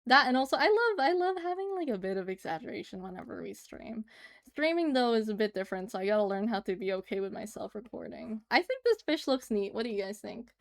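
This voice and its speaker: A woman's voice: a little high, expressive, fast. A woman livestreaming as she draws, chatting casually and giggling as she answers her chat. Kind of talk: casual chat while drawing on stream.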